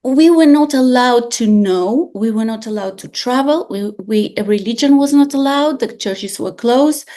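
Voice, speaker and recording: A woman, hosting a podcast in a casual chat and telling stories in an expressive, animated way with frequent restarts. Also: fairly high pitch, unhurried, few pauses. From Bulgaria. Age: 40s. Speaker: podcast host.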